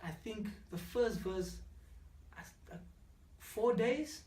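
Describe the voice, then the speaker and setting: A young South African man whose first language is Afrikaans, speaking English with an Afrikaans accent as a rapper guest in a casual interview, relaxed and halting with plenty of fillers. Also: high-pitched. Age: young adult. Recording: roomy, distant mic.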